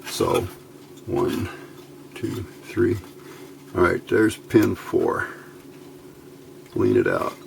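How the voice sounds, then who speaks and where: Male hobbyist instructor, casual and very unhurried step-by-step delivery, faint noise behind the voice. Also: on the low side, fairly even intonation, vocal fry. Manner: unhurried, thinking aloud. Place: indoors at a workbench by a window.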